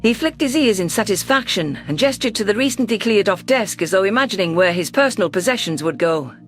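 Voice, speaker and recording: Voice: medium pitch, expressive, a little fast, fairly bright tone, soft background music. A narrator reading first-person science fiction in a scripted, dramatic storytelling style. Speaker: narrator of sci-fi audio stories.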